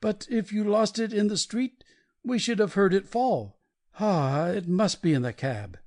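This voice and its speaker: A woman's voice: mid-range voice, lively intonation, measured pace, a little mellow. A woman voicing an anxious, practical husband in a dramatic audiobook reading. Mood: anxious questioning.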